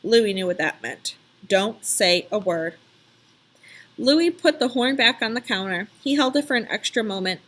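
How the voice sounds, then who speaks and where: A woman narrating a children's story aloud in an expressive, emphatic storytelling style. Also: fairly high pitch, a little fast, hardly any pauses.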